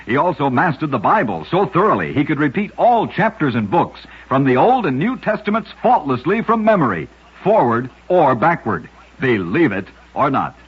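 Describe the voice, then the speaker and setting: A man's voice: a little high, sing-song, normal speed, slightly rough. A man narrating short scripted oddity stories as a radio host, with a dramatic storytelling delivery. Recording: muffled vintage radio recording.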